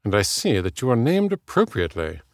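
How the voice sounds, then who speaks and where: A man voicing a boisterous, elegant British gentleman in an audiobook, with a smooth, theatrical, effusive delivery. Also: fairly low pitch, huge pitch swings, a little fast, no echo, studio quality, voice pushed up. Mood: smitten, savoring.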